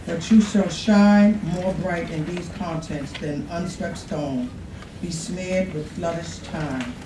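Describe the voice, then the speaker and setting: Slow-reading woman, distant in echoey room. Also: lowish voice, background noise. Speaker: poetry contest reader. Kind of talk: reading a sonnet aloud. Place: college classroom, at a podium.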